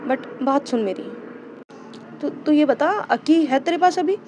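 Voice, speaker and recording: A woman voicing a caring best friend who reassures and urges her friend to stay calm. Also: sits high, a little slow, a little hiss or hum. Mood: reassuring. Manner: warm and urgent, reassuring.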